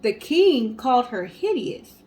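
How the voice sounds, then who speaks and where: A woman co-hosting a show, telling stories and chatting casually to the audience in an animated way. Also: on the high side, measured pace. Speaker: co-host of a storytelling guessing show. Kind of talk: casual storytelling and chat to viewers.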